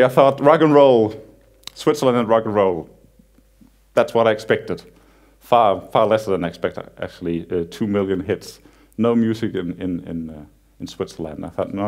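Danish man, 30s, conference speaker on stage in a cinema hall, easygoing and joking, stumbles a bit. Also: lowish voice. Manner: relaxed, self-deprecating humor with frequent halting restarts. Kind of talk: conference talk, casual and joking.

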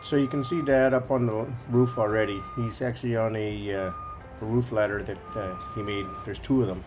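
A man's voice: lowish voice, steady tone, normal speed, music in the background. A man giving a casual, folksy running commentary as a vlog host, explaining as he goes.

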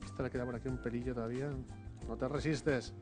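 A man hosting a television cooking show, teasing his guest and firing off quick questions in light banter. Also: medium pitch, steady tone. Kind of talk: playful banter. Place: TV studio kitchen. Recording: clear background music.

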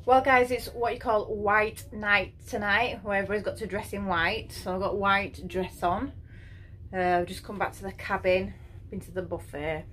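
A woman's voice: medium pitch, fairly even intonation, moderate pace, background noise. A woman in her thirties vlogging casually from a small cabin, talking into a phone at arm's length, rambling with fillers and little laughs. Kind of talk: casual travel vlog. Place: small ship cabin, indoors.

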